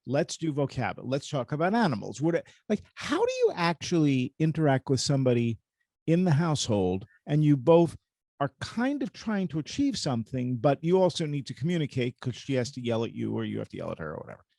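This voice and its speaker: A man hosting an interview, asking lively questions that break off and restart. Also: mid-range voice, fairly quick, vocal fry, dry recording, studio quality. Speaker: host. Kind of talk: conversational interview.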